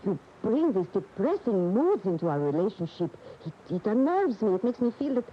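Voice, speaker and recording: A woman acting in a radio drama as a proud, exasperated lover of a famous bullfighter, emotive and reproachful, with deliberate pauses. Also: mid-range voice, very animated, natural voice. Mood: pleading reproach. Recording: muffled, hissy radio broadcast tape.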